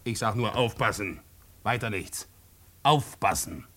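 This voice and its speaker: An actor playing a suspicious, dogmatic pub landlord in a German radio drama, preachy and self-righteous. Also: male voice, on the low side, animated, unhurried, a bit bright.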